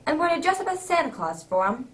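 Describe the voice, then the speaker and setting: A woman acts out a genial older English gentleman in a radio drama, avuncular and easily flustered. The voice is high and very animated, full of old-fashioned exclamations. It is picked up from a little distance. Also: fast. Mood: jovial, welcoming. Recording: distant microphone.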